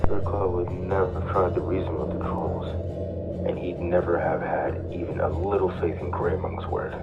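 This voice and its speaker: Guy playing a young elf chief, really low voice, grave n determined, muffled with loud music, fantasy dub. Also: flat delivery, moderate pace, close to an everyday voice. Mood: bitter, regretful. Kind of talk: dramatic comic dub acting. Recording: dull muffled audio under loud music.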